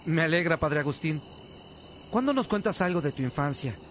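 A man's voice: on the high side, expressive, normal speed, a bit bright. A man playing an attentive, admiring friar in a radio drama, deferential and eager to please. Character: attentive, admiring friar who cooks for brothers. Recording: clear background ambience noise.

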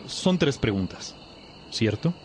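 A man's voice: mid-range voice, sing-song, slow, slight background noise. An actor playing a lovesick young man, a respectful pupil who speaks earnestly and hesitantly in a religious radio drama. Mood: puzzled. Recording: music behind the voice.

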